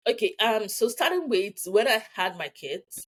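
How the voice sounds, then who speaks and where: A woman's voice: mid-range voice, big pitch swings, normal speed, husky. Nigerian-accented woman, chatty interview guest. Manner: chatty, repeats words, checks in with "okay?". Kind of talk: casual conversation.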